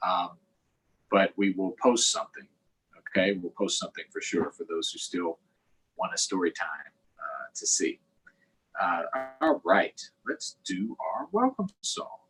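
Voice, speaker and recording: A man hosting a storytime for children, reading aloud and chatting directly to young viewers in an expressive way. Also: low voice, unhurried, breathy edge, soft background music, poor audio. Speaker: storytime host, councilmember. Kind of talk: children's read-aloud and live chat.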